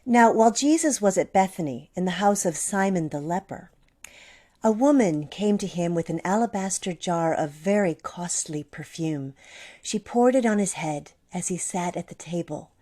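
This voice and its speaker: A woman narrating a gospel passage in a church dramatic reading, calm and steady. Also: fairly low pitch, moderate pace. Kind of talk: scripture narration. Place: church sanctuary.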